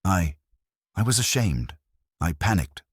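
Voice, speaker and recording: A man's voice: low voice, sing-song, a little slow, breathy edge, no echo, studio quality, pitched above the performer's own voice, exaggerated voice. A man voicing a timid, ashamed and distraught woman witness in an audiobook, speaking hesitantly and defensively. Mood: ashamed, anxious. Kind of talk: audiobook detective story dialogue.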